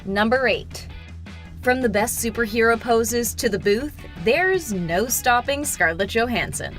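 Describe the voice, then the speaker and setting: Woman, countdown narrator, scripted delivery, higher pitch, highly varied intonation, light music bed. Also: expressive, measured pace, fairly bright tone. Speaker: narrator of an entertainment countdown video.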